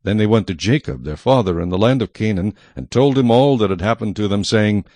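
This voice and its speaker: A man's voice: on the low side, a little fast, a little mellow, no echo. A man narrating scripture as a scripted, dramatized audio reading.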